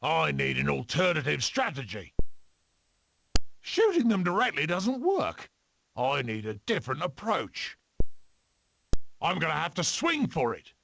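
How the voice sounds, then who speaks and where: Manic weasel adventurer, wildly animated. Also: male voice, a little high, a little slow, vocal fry, pauses often, slight background noise, full character voice. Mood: playful, scheming. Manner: theatrical, eccentric, exclamatory. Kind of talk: video game character voice clips.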